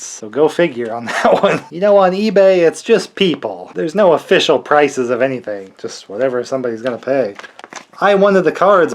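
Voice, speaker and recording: A man's voice: a little high, slight background noise. Casual, wry man doing unboxing commentary. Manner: wry, joking asides. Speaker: collector hosting an unboxing video.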